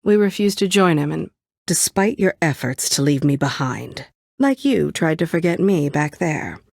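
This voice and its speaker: A woman's voice: low-pitched, lively intonation, moderate pace, vocal fry, a little mellow, dry recording, heavily acted. A woman playing a bitter, guarded former admin and ex-prisoner in a video game, curt and dryly sarcastic. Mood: grim, wry. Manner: curt, dry sarcasm, brooding.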